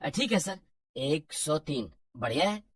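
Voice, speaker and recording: A woman voicing a polite, anxious young working man, deferential and hesitant, in an animated horror story. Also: low voice, slow, husky, no echo, clean studio sound, pitched below the performer's own voice, exaggerated voice. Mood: pleased.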